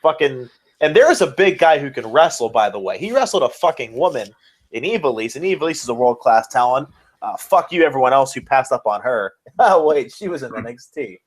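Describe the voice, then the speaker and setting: A man's voice: mid-range voice, very animated, a little fast. A man co-hosting a podcast, chatting casually as an enthusiastic fan and swearing freely. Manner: enthusiastic, profane. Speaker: podcast co-host. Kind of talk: casual wrestling fan chat.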